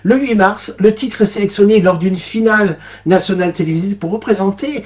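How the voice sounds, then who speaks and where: A man's voice: sits high, a little fast. A man co-hosting a radio music show, reading out an artist's career and chatting about it. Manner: reads facts aloud, then comments in an easy chatty way. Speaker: radio co-host.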